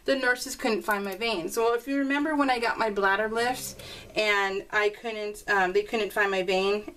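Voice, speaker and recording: A woman's voice: mid-range voice, far from the mic, low-quality recording. A woman chatting casually in a rambling, personal storytelling way, like a crafting vlogger talking as she works. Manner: chatty, animated when retelling conversations. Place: indoors at a craft table.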